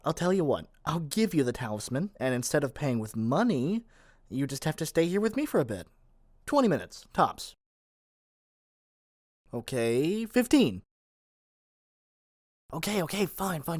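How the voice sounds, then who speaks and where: A man's voice: fairly high pitch, no room sound, studio quality. A man playing a lovesick young witch shopkeeper in a scripted ASMR roleplay, theatrical and coaxing in a playful way. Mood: panicked pleading. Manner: theatrical, coaxing, playful, stammers when pleading.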